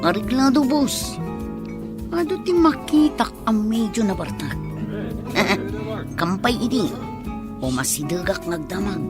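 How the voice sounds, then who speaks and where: A woman's voice: mid-range voice, expressive, takes it slowly, long pauses, over loud music. A woman playing an eager, obedient gang henchman who schemes in a comedy radio drama. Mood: scheming.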